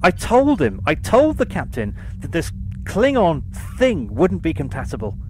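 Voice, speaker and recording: A woman's voice: sits low, huge pitch swings, a little slow, a little mellow, a little hiss or hum, full character voice, low-quality recording. A woman playing an exasperated, sarcastic starship engineer in an audio drama, grumbling.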